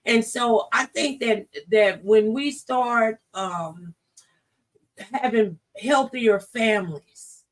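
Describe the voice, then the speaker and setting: A woman's voice: on the high side, slow pace, distant mic. A woman hosting a talk show, speaking conversationally with an exhorting, encouraging style.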